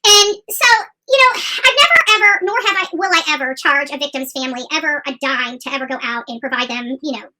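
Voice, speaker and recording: A woman's voice: high-pitched, expressive, fairly quick, few pauses, sharp tone, a little hiss or hum, rough recording. A woman host delivering a casual commentary rant, indignant and emphatic, repeating phrases to drive points home. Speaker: YouTube channel host covering missing persons cases.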